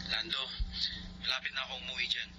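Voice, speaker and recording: Sharp, thin Filipino man on the phone. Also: medium pitch, little pitch movement, fast. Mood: subdued, sincere. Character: ailing man working abroad, devoted son and father. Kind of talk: Filipino radio drama acting. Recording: quiet lo-fi phone voice, hum and noise.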